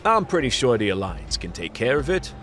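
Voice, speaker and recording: Man acting a brooding hard-boiled detective, animated delivery. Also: mid-range voice, a little fast. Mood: resigned, wry. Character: hard-boiled fantasy detective, world-weary, knowing, dealer with demons. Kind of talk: noir radio play narration and dialogue.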